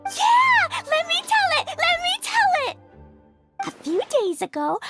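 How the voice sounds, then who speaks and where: A woman voicing an excitable little girl in a video game cutscene, eager and chattering, with game music behind. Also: very high voice, dry recording, studio quality, heavily acted.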